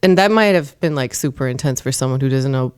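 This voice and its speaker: A woman's voice: rumbling low, expressive, fast talker, darkish tone, clean tone. A woman guest on a podcast, talking in casual interview style, earnest and emphatic when she speaks about faith. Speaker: podcast guest, comedian and performer. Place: studio.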